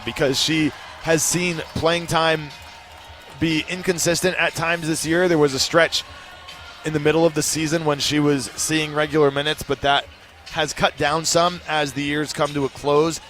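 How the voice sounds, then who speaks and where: A man working as a play-by-play commentator, breaking down a basketball game in a measured, analytical way. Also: a little high, fairly bright tone. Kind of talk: sports analysis talk.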